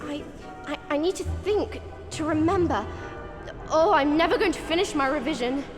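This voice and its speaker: A woman's voice: sits high, moderate pace, lightly acted. A woman playing an anxious, fretful teenage schoolgirl witch in a video game, in reverberant sound. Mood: panicked. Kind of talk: video game cutscene dialogue. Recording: hall-like reverb under music.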